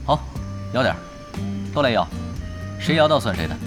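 A man acting a calm young team leader in an audio drama, decisively giving orders. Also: mid-range voice, moderate pace, close to an everyday voice. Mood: casual, in charge. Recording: loud music and effects behind voice.

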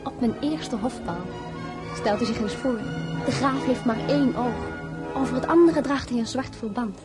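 An actress as a genteel, high-voiced empress. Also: female voice, normal speed, loud music behind the voice, lightly acted. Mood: wistful. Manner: genteel, reminiscing. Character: gracious, wistful young empress reminiscing. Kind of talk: radio play acting.

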